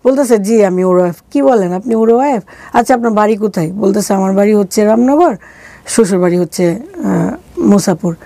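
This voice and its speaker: Bangladeshi woman, middle aged, soft mellow tone, chatting through her life story, quotes what people said, dry close sound. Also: mid-range voice, brisk, dry recording. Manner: colloquial, acting out quoted dialogue. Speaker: interview guest telling her life story. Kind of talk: conversational personal storytelling.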